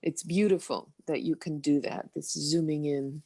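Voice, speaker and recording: Low-ish woman rambling on a dry call. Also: steady tone, moderate pace, vocal fry, warm tone, husky, no echo. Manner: rambling, searching for words. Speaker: event participant. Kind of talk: casual online video call chat. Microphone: video call.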